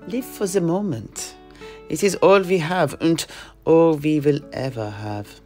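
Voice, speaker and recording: A man's voice: fairly high pitch, measured pace, faint music behind. A man narrating a family tale in an audio drama, rambling with frequent fillers. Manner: relaxed, rambling storytelling with many fillers. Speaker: storyteller guest.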